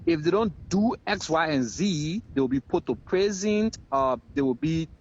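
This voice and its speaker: A Liberian man speaks in a high, very animated voice. He argues politics with heat, hammering points home by repeating them. The sound is dull and lo-fi, like call audio. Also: moderate pace, vocal fry, a little hiss or hum. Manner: emphatic, repeats phrases for effect. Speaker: political talk show guest. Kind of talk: heated political commentary.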